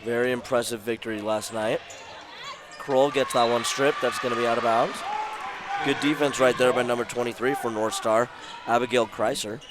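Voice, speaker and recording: A man doing play-by-play at a basketball game, his voice sore and worn from illness, calling the action in short brisk bursts. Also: medium pitch, flat delivery. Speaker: play-by-play announcer. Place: school gym courtside. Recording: loud crowd and gym noise behind.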